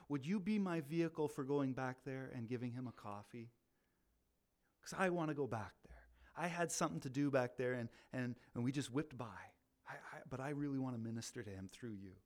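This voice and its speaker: A man who leads a ministry, talking about faith in a loud, animated way, often repeating words as he restarts. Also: mid-range voice. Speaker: ministry leader. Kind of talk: casual faith talk.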